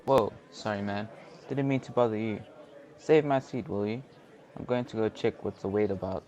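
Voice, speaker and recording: A man's voice: lowish voice, moderate pace, darkish tone, lo-fi sound, close to an everyday voice. A young man acting a chatty, flippant husband held hostage in an amateur radio drama. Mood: casual, sheepish. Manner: flippant, talkative, complaining. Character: cheeky, chatty bank hostage and harried husband. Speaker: student actor.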